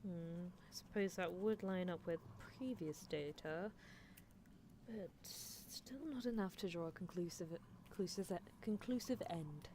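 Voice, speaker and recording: A woman's voice: on the low side, unhurried, dark tone, a little hiss or hum, close to an everyday voice. A woman voicing a playfully menacing, teasing female mad scientist in a roleplay ASMR stream, musing theatrically. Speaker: livestreamer. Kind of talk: roleplay ASMR livestream, answering chat in character.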